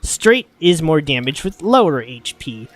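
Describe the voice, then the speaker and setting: Animated, sniffly guy breaking down a game. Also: fairly high pitch, unhurried, faint music behind. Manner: casual, swears and laughs a lot. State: sniffly, coming down with a cold. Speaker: streamer.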